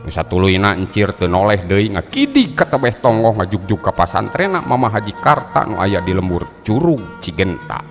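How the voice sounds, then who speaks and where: Deep-voiced male storyteller. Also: very animated. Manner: measured, dramatic telling. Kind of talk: traditional storytelling narration. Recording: folk music playing behind.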